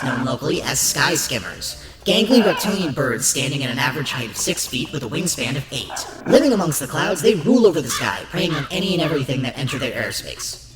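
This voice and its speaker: A narrator presenting a scripted documentary-style piece in an emphatic presenter's delivery. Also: medium pitch. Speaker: narrator and show host. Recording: roomy echo under loud music. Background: loud background music.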